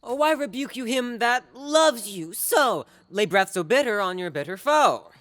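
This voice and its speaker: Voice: mid-range voice, lively intonation, a little slow, a bit bright, dry recording. An actor playing a spurned, ardent young man in love in a Shakespeare audio drama, impassioned and melodramatic. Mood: pleading, wounded. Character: ardent, spurned young male suitor, Demetrius.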